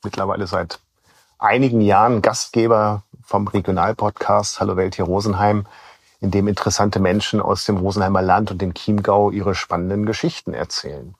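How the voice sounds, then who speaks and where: A man's voice: fairly low pitch, steady tone, normal speed, dry recording. A man hosting a podcast, speaking conversationally and asking guiding questions. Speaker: podcast host. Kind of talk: interview hosting.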